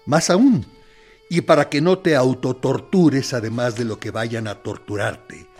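An actor in a radio play voicing a military interrogator who plays good cop, deliberate, condescending and mock-friendly, with menace in his theatrical pauses. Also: mid-range voice. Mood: veiled threat. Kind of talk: dramatic radio play monologue.

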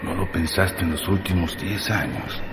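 An actor playing a grave, accusing inner voice in a radio drama, solemn and insistent. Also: male voice, low voice, normal speed, lightly acted. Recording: echoey reverberant space, background noise.

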